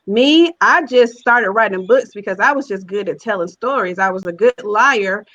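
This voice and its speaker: A woman's voice: medium pitch, moderate pace, fairly bright tone, dull audio. A woman guest on a panel, giving earnest conversational advice and repeating phrases for emphasis. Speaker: guest, stage play writer-director.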